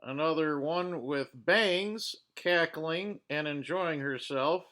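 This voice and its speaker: A man hosting a reaction video, pausing clips to give blunt, judgmental commentary with frequent fillers. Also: fairly high pitch, fairly bright tone. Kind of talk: commenting over paused clips.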